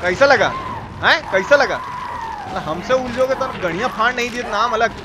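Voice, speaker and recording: A man with a Bihari accent giving excited, colloquial gameplay commentary as a live-streamer, repeating himself and exclaiming. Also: extremely high pitch, moderate pace. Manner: colloquial, repetitive, exclaiming, mock-abusive. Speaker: live-streamer playing a horror game. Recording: noisy stream with game sounds behind.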